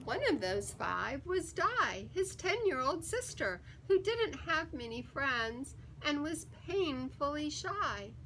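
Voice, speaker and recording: A woman reading a children's picture book aloud in an expressive, deliberate and emphatic storytelling manner. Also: high-pitched, slight background noise, poor audio. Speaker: story time reader.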